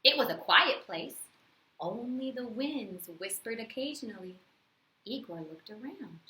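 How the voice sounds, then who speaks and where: A woman reading a bedtime story aloud to young children, expressively, with teacherly questions and pauses. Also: a little high, lively intonation, sharp tone, roomy sound, low-quality recording.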